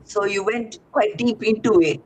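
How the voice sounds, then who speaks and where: A man from Singapore whose first language is Tamil, speaking in a halting, casual way with many fillers on a video call. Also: high voice, a little mellow, low-quality recording. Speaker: audience participant. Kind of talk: casual chat. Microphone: webinar video call.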